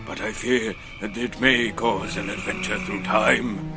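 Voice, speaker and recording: An eccentric wizard of a comic radio play, put on by a man. He is rude and grandly mysterious as he guides adventurers. Music plays clearly behind him. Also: lowish voice, steady tone, unhurried, pitched below the performer's own voice.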